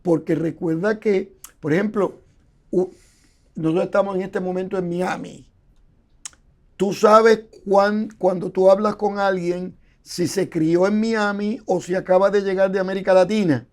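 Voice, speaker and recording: A man's voice: high-pitched, steady tone, unhurried, a little mellow. An older man explaining scholarly points at length in a conversational interview, often repeating words and building his points with examples. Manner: explanatory, lecturing with examples and repetitions. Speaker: guest, Bible scholar.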